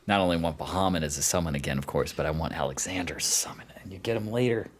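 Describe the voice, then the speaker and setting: A man's voice: deep voice, rapid-fire, a little hiss or hum. A man co-hosting a podcast, talking in a casual, chatty style. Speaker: podcast co-host. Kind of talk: casual chat. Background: loud music behind the voice.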